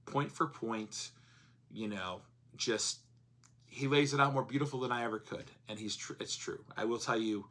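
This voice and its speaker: A man with a hoarse voice chatting casually in a vlog, rambling with plenty of fillers. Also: medium pitch, moderate pace, fairly bright tone. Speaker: comics vlogger.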